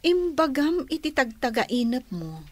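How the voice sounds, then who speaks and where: A woman's voice: high-pitched, sing-song, unhurried, a little mellow, no echo, full character voice. A woman acting in a radio drama as a nosy young niece, teasing and insistent as she questions her aunt.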